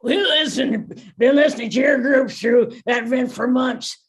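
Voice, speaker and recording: An actor voicing a resentful, theatrical villain, the declamatory leader of discarded pets in an animated comedy. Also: sits high, unhurried. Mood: righteous anger. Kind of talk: table read of animated comedy script.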